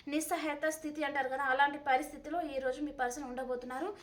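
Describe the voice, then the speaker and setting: Lady tarot reader w really high voice, chatty, talks right to viewer, on words, repeats stuff. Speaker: tarot reader hosting a channel. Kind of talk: tarot reading addressed to the viewer, conversational.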